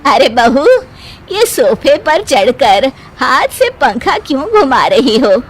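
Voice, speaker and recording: A woman voicing an amused, indulgent older mother-in-law in an animated family story, gently teasing as she talks. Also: high-pitched, slow, breathy edge, full character voice. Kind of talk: animated Hindi moral story voice acting.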